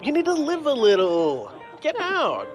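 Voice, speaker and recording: A man's voice: extremely high pitch, wildly swooping pitch, measured pace, soft background music, exaggerated voice. An actor playing an easygoing, teasing male sidekick with a playful manner.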